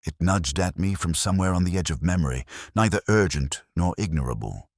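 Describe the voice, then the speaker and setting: A man narrating a scripted first-person detective story in a measured, literary, brooding manner. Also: deep voice, animated, dry recording, studio quality.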